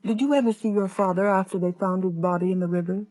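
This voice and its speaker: Casting: actress as poised lady sleuth's companion, mid-pitched, questioning, dry vintage radio sound. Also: steady tone, fast talker, warm tone, no room sound, natural voice, poor audio. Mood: polite probing. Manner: crisp, questioning. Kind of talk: 1930s radio acting. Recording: vintage 1930s radio broadcast.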